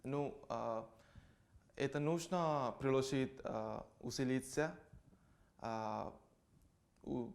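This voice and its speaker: A young man, a student answering an interview question in a language that is not his first, speaking haltingly with many filler sounds. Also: medium pitch, creaky, darkish tone.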